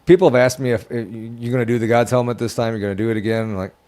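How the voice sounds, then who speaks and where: A man's voice: mid-range voice, very fast, darkish tone. A man chatting casually as a guest paranormal investigator, repeating words and stumbling in an emphatic, stammering way. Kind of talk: casual storytelling chat.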